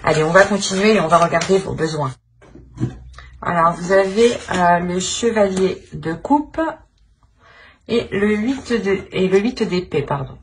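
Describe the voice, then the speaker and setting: Female tarot reader, lowish voice, improvised and searching delivery with repeated phrases, slight background noise. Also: unhurried. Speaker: tarot reader, channel host. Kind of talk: improvised tarot reading addressed to the viewer.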